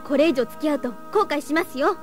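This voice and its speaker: An actress playing an emotional, excitable teenage girl in a Japanese audio drama. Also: sits high, a little fast, music in the background, lightly acted. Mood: bitter, upset.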